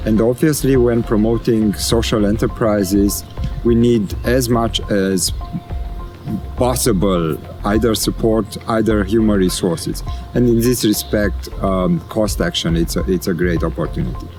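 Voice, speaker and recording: A man's voice: on the low side, a little slow, darkish tone, faint music behind. A middle-aged man answering interview questions outdoors in an earnest way.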